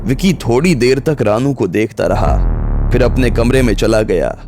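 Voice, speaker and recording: A man's voice: mid-range voice, animated, normal speed, noisy background. A man narrating a scripted audio story in the role of storyteller. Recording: background music under the voice.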